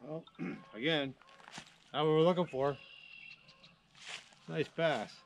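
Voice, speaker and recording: A man's voice: a little high, slow pace, creaky, a little hiss or hum. Folksy Canadian man, fishing vlog. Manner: folksy, joking, thinks aloud with fillers. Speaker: fishing vlogger. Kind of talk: casual vlog narration. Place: inside a car, then outdoors by a creek. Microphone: camera on dashboard, later far camera on the bank.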